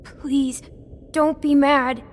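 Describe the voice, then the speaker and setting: Very high, timid little boy. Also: flat delivery. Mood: anxious. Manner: halting, stammering, anxious. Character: timid, frightened young toad boy confessing. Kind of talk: video game voice acting.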